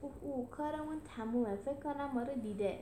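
A woman's voice: extremely high pitch, very animated, pitched above the performer's own voice. A woman voicing a nervous male animal with a worried, bewildered manner in a storybook reading. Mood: hushed, fearful. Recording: roomy echo with some background noise.

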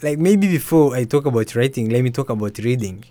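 A young man, an author and musician, telling his story softly and hesitantly in an interview. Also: medium pitch, lively intonation, a little fast, no echo. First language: Swahili. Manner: soft-spoken, hesitant with restarts and fillers. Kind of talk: reflective personal storytelling.

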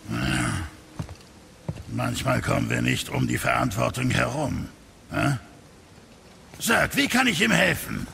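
Ein Schauspieler spielt in einem Hörspiel einen derben, gutherzigen Zwergenschmied, der unverblümt und mit bildhaften Ausrufen redet. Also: male voice, medium pitch, lively intonation, slow pace, creaky, pauses often, sharp tone, a little hiss or hum, full character voice. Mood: gruff concern. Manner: brash, colourful, exclaiming. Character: blunt, gruff, good-hearted dwarf blacksmith. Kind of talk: German audio drama acting.

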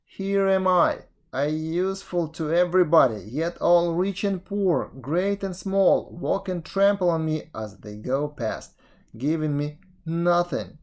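A weary storybook road that talks, voiced by a narrator, slightly raised in pitch. It complains in a measured, aggrieved and disdainful way. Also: expressive, a little mellow, close to an everyday voice.

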